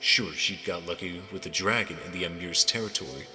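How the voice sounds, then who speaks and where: A man's voice: sits low, fairly quick, sharp tone. A man playing a stern, guarded lord in an audio drama, speaking in a formal, admonishing way. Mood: stern, irritated. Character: stern, cynical, guarded male lord in power. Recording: long hall reverb over music.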